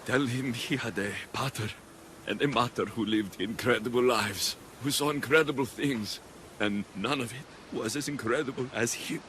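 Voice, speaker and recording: An actor playing a battle-hardened young Greek father in a video game, grieving and tender, with a deliberate, heartfelt delivery. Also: mid-range voice, fairly bright tone, a little hiss or hum, natural voice. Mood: emotional, loving. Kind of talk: dramatic video game acting.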